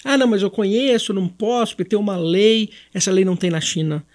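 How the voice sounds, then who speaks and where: Male podcast guest, Brazilian accent, high-pitched and quick, didactic and emphatic business adviser. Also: a little mellow. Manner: didactic, emphatic, numbers his points. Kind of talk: conversational business advice.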